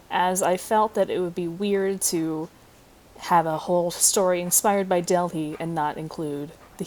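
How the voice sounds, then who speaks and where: A woman's voice: lowish voice, a little mellow, low-quality recording. A young adult woman giving a scripted class presentation as a student, recorded at a computer. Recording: faint background noise.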